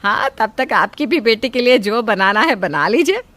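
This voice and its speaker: A woman's voice: a little high, lively intonation, moderate pace, a bit bright. A woman playing a greedy middle-aged mother-in-law in an audio story, falsely polite, wheedling and demanding. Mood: falsely sweet. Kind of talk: acted Hindi audio story.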